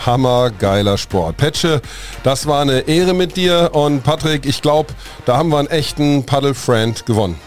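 A man's voice: medium pitch, animated, moderate pace, faint music behind. A man hosting a podcast, playful and theatrical in how he introduces and signs off. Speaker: podcast co-host.

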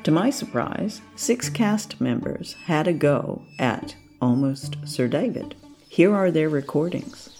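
A presenter with a mellow, mid-pitched voice reads scripted introductions. Faint music plays behind the narration. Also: lively intonation, slow.

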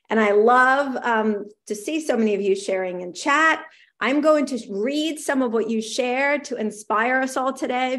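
A middle-aged woman hosting a live show, upbeat and encouraging, reading viewers' messages aloud, her voice slightly raspy. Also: on the high side. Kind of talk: live-streamed talk to viewers.

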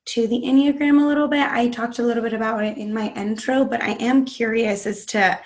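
Hoarse, raspy woman hosting a podcast. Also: fairly high pitch, fairly even intonation, fairly quick, a little mellow. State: hoarse from a cold. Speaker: podcast host. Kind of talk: podcast interview.

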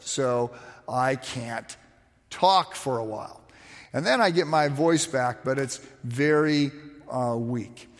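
Male preacher, slightly high voice, raspy when quiet, lively storytelling sermon, long hall reverb. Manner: animated storytelling with frequent restarts and fillers.

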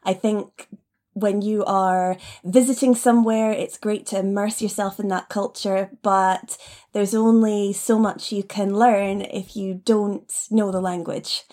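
Female podcast guest, mid-pitched voice, conversational teacher answering questions. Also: dark tone. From Scottish Highlands, Scotland.

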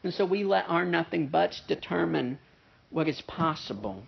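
A man's voice: fairly high pitch, lively intonation, moderate pace, gravelly, husky. A man preaching a sermon in a conversational way, with a nasal voice and many "uh" fillers and "right?" asides. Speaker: pastor. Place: church service with a pianist present. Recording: dull, muffled sermon recording.

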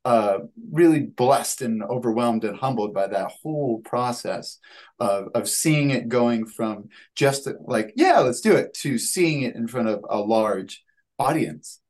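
A man in his thirties speaks as a guest on a video call interview. His pitch is average for a man. He answers conversationally but haltingly, often pausing and repeating words as he builds each sentence. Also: expressive, a little mellow. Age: 30s. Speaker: guest, playwright and actor.

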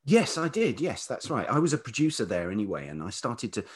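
A man's voice: mid-range voice, very animated, fairly quick, husky. A man reminiscing conversationally as a podcast guest, in a casual, reflective way. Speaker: podcast guest, writer and producer.

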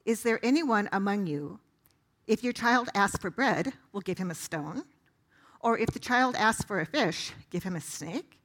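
Woman with a mid-range voice, reading scripture out loud. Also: medium pitch, expressive, a little slow, low-quality recording. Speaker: volunteer scripture reader.

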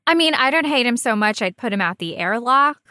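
Bright-voiced woman, joking podcast co-host. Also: a little high, expressive, a little fast, no room sound, studio quality. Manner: joking, swears casually. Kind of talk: casual chat, teasing banter.

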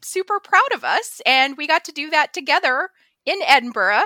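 A woman co-hosting a podcast in casual chat, bubbly and excitable and quick to laugh. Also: high-pitched, no echo. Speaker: podcast co-host.

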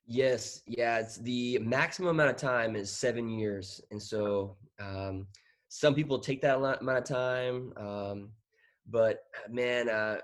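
A man in his thirties who grew up in Thailand talks in a relaxed, rambling way. He laughs at himself often and trips over his words. His pitch sits in a middle range. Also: takes it slowly. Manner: laughing, self-deprecating, rambling with restarts. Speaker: guest. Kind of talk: casual interview chat.

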